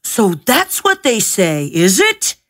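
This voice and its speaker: Woman as a boastful, cruel storybook ogre, animated. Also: medium pitch, slow pace, heavily acted. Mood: challenged, boastful. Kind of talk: storybook villain voice.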